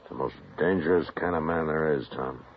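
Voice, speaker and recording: Deep, gravelly frontier lawman, grave delivery, lo-fi 1950s radio drama. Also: normal speed. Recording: tinny old radio broadcast with faint hiss.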